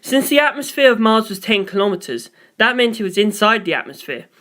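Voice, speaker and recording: A man's voice: high-pitched, big pitch swings, a little fast, pushed and bright. A man reading scripted first-person story narration.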